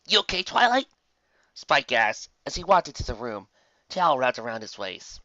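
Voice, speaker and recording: Animated male storyteller, slightly muffled. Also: mid-range voice, normal speed, dry recording. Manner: dramatic, expressive reading. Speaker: fan fiction narrator. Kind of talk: scripted story narration. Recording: muffled, dull, dry home recording.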